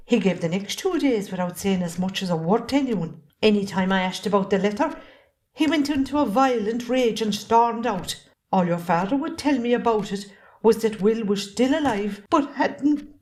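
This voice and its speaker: Woman acting exasperated Irish wife, higher-pitched. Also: a little mellow, natural voice. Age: middle-aged. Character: long-suffering, exasperated Irish wife and mother, fiery yet worn down. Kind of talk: amateur stage drama acting.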